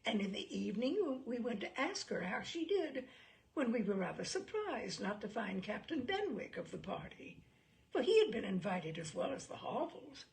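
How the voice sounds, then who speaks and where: A woman reading a classic novel aloud, voicing a self-pitying, gossipy young married gentlewoman with an animated, complaining manner. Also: fairly high pitch, normal speed, vocal fry, low-quality recording. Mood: gossipy, excited.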